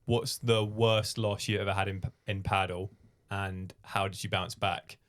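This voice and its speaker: A man co-hosting a podcast, asking short questions and chiming in with quick yeahs. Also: deep voice, little pitch movement, a bit bright. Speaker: podcast co-host. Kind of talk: casual podcast chat.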